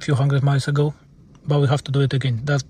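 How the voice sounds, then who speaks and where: Male vlog mechanic, Polish accent and native tongue, raspy edge, informal explainer with halting second-language phrasing. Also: mid-range voice, flat delivery, mellow. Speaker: car mechanic presenting a repair video.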